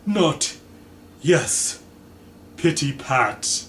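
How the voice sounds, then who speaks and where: A man voicing a tearful, wealthy gentleman in mourning, sobbing and melodramatic, for a storybook reading. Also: fairly high pitch, very animated, very slow, heavily acted. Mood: distraught. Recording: echoey room, faint background noise.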